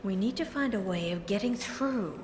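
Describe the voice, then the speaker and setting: A woman's voice: mid-range voice, expressive, moderate pace, noisy background, close to an everyday voice. An actress voicing a composed, formal queen in a video game cutscene. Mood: regal. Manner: poised, formal. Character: regal composed female queen.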